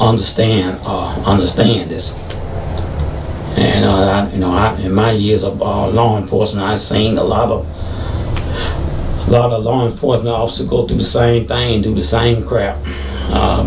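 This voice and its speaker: A middle-aged man, a former deputy, with a very deep, heavy voice, giving casual video commentary in halting speech full of fillers and restarts. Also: vocal fry, reverberant, recorded from a distance, background noise. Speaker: former deputy, channel host.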